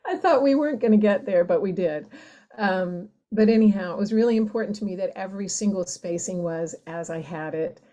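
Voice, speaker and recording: A woman poet talking reflectively and reading her work in a thoughtful, deliberate way. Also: medium pitch, warm tone, dull audio. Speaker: poet, podcast guest. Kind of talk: reflective talk and poetry reading.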